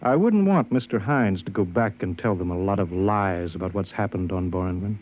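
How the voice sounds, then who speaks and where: A man's voice: sits low, animated, moderate pace, faint music behind. An actor playing a falsely friendly, grandiose male blackmailer in 1950s radio drama style, insinuating and commanding. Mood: slyly persuasive. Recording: dull, noisy vintage radio recording.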